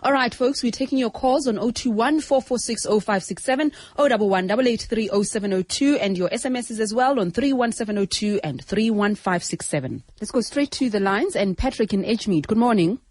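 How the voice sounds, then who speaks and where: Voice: medium pitch, expressive, brisk, hardly any pauses, no room sound. A radio talk show host who chats with the expert and takes listener calls, with frequent murmurs of agreement. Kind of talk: hosting call-in radio.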